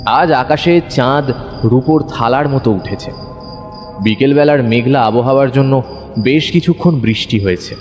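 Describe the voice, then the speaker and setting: A man's voice: medium pitch, moderate pace. Casting: male narrator for a mystery audio story, mid-range voice, dramatic and suspenseful, echoing with music behind. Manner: suspenseful, expressive. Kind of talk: dramatic scripted first-person detective story narration. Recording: long reverb, music under narration.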